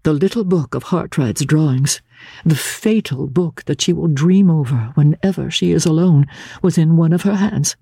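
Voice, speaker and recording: An older woman narrating classic literature as a diary, in a measured, grave and expressive dramatic reading. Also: dry recording. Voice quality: low-pitched, husky. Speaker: audiobook narrator, radio presenter.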